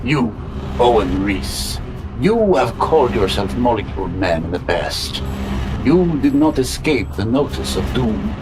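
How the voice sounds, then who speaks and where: A man's voice: mid-range voice, very animated, a little slow, low-quality recording. Man playing an imperious, swooping mastermind villain. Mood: commanding. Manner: pompous, clipped, theatrical. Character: imperious, grandiose masked male mastermind villain. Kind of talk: audio drama acting.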